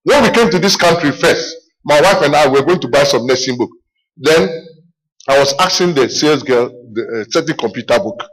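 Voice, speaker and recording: A middle-aged man from Ghana with a Ghanaian accent, preaching a sermon full of personal stories, animated and repetitive, often calling out "Amen.". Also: mid-range voice, normal speed, low-quality recording. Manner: animated storytelling, repeats himself, calls "Amen".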